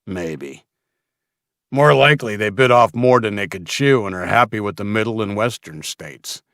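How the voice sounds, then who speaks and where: A man's voice: lowish voice, expressive, moderate pace, fairly bright tone, no room sound. Slaver crew leader, played by a male narrator: blunt, callous, dismissive, dry close sound. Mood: callous, commanding.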